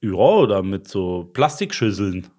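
Joking male podcast co-host, lively intonation. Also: lowish voice, unhurried. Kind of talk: casual banter with co-hosts.

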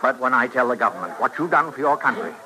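An actor playing a smooth-talking, urgent professor and inventor in a radio western, articulate and theatrical. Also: male voice, mid-range voice, expressive, quick pace, a crowd in the background, close to an everyday voice, rough recording. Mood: grateful. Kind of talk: 1950s-60s radio western acting.

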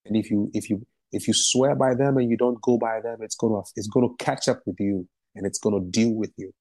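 A man's voice: fairly low pitch, steady tone, a little fast, a little mellow, dry recording. A man from Ghana, a musician and activist, answering interview questions in a passionate, emphatic way and repeating phrases for stress.

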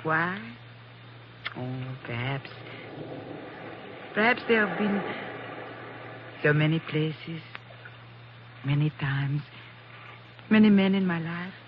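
A woman's voice: low voice, expressive, slow, long pauses. An actress playing a languid, seductive worldly woman in a 1940s radio spy drama, speaking intimately with lingering hesitations. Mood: intimate, wistful. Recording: muffled, noisy 1940s radio recording.

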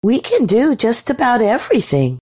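A woman's voice: a little high, very animated, unhurried, breathy edge, voice pushed up, full character voice. A woman voicing an innocent, trusting young woman from a storybook, in a light, naive manner. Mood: cheerful. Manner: light, naive, bright-eyed.

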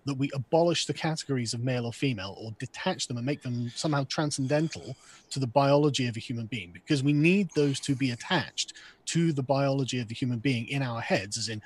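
Man, husky voice, mid pitch, interrupts and restarts, call mic. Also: quick pace. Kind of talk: debate on a video call.

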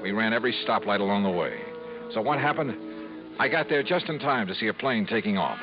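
An actor playing a brash, wry freelance investigator in punchy, hard-boiled 1950s radio style. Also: male voice, on the low side, expressive, brisk, over music. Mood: urgent, wry. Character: brash, wry freelance insurance investigator narrating his case. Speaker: lead character and narrator. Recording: dull 1950s radio recording.

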